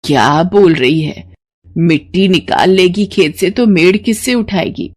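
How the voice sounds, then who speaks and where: Woman voicing a worried elderly village mother-in-law, low-voiced. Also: unhurried, a little mellow. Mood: puzzled. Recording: noticeable background noise.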